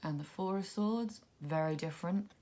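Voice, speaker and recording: A woman's voice: on the low side, normal speed. A woman with a cold, sniffly and a little congested, musing casually as she walks through a tarot deck. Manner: relaxed, musing, thinking aloud. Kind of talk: casual walkthrough commentary.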